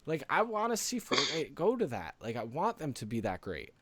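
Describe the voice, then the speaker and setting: A man's voice: a little high, huge pitch swings, normal speed, fairly bright tone, husky, no echo. A man co-hosting a casual gaming podcast in an earnest, rambling way, close to the microphone. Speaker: podcast co-host.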